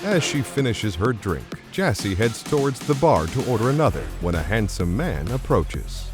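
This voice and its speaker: A man's voice: on the low side, big pitch swings, normal speed. A man giving dramatic scripted narration as the narrator of an audio drama. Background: music clearly underneath.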